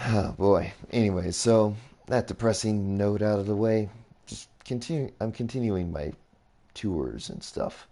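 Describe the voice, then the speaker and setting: A young man casually rambling through a game tour as a streamer, his voice a little raspy, often reacting with surprise. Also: on the low side, lively intonation, measured pace, dark tone. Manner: rambling, easily impressed, mild swearing. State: slightly raspy voice. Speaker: gaming streamer. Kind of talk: casual game commentary while touring builds.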